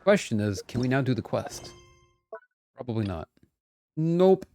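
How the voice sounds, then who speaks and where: A man live-streams a game with a lively, animated delivery. He chats playfully and repeats himself while thinking aloud, with faint music behind him. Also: mid-range voice, a little slow. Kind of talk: casual live gameplay chat.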